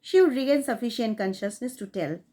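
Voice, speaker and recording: A woman reading a short story aloud in a measured, expressive narration. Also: fairly high pitch. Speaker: audiobook reader.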